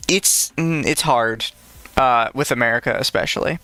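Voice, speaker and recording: A man's voice: mid-range voice, normal speed, pushed and bright, no room sound, faint music behind. A man co-hosting a casual podcast, talking bluntly and sarcastically and swearing freely. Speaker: podcast co-host.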